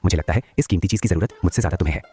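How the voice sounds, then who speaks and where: Benevolent roaming beggar elder for an animated fable, male actor: lowish voice, solemn, grateful, generous. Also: racing, soft background music, natural voice. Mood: grateful, blessing. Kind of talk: cartoon moral-story dialogue.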